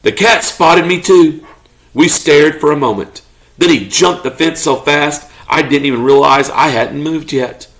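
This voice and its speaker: A man reading a children's story aloud expressively, as a parent does to a child, pausing to ask questions. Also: fairly high pitch, a bit bright, low-quality recording. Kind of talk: expressive children's read-aloud, narrating as a pet dog.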